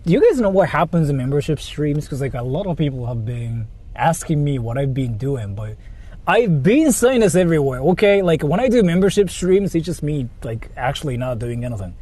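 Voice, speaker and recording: A man's voice: fairly high pitch, lively intonation, fairly quick, mellow, husky. A man livestreaming, chatting casually with viewers and reading donations in a rambling, joking way full of fillers. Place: in Japan.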